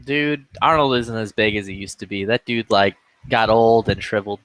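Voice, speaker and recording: A man's voice: fairly low pitch, moderate pace, no echo. Crude, joking young man on a headset. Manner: loud, joking, swearing, laughs often. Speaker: lobby chat participant. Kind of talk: crude casual banter.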